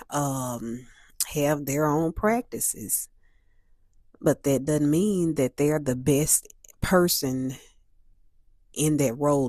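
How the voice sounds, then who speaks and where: A woman hosting a solo talk, a little stuffy and hoarse from a cold, speaking in a measured way that repeats and restates her points. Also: a bass voice, mellow, dry recording, studio quality. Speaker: host.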